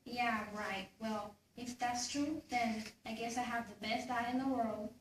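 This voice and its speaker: Woman as a high-voiced, resentful grown kid. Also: fairly even intonation, a little slow, lightly acted. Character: resentful, complaining grown child venting to mother. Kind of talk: readers theater stage performance. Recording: echoey room, distant mic, faint noise.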